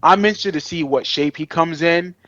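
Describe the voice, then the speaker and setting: A man's voice: a little high, brisk, a bit bright, no echo, highs cut off. A man co-hosting a podcast in casual fan chat, with an informal, slangy and enthusiastic way of talking. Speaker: podcast co-host.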